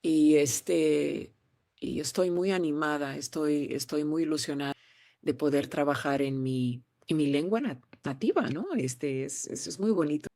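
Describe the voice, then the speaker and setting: A woman's voice: low-pitched, a little slow, dark tone, dry recording, clean studio sound. A woman from Peru, an actress answering interview questions in an earnest, encouraging way, often restarting her words over a remote call.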